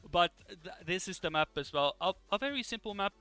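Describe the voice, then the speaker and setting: Bright-voiced man hosting, music behind. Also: high-pitched, lively intonation, normal speed, smooth. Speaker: esports broadcast host. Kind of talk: casual live presenting and commentary. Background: music in the background.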